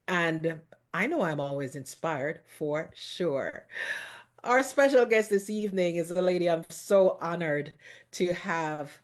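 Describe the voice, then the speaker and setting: A woman's voice: low voice, a little mellow. A middle-aged woman from Jamaica, hosting a talk show in an effusive, exclamatory way. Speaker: host.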